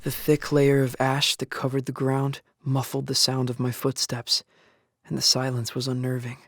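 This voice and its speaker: A man's voice: medium pitch, no room sound, studio quality. An actor playing a young male survivor of an apocalypse in a first-person audio drama, earnest, shaken and grieving for his father. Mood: bleak, haunted. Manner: somber, earnest storytelling with anguished outbursts. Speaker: lead actor and narrator. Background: music.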